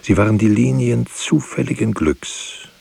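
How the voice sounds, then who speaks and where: An actor playing a grave, brooding narrator in a literary radio drama, reciting in a solemn, measured way. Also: male voice, medium pitch, creaky, dark tone, slightly rough, no echo, full character voice. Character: grave male narrator, poetic, brooding witness. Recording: faint background hiss.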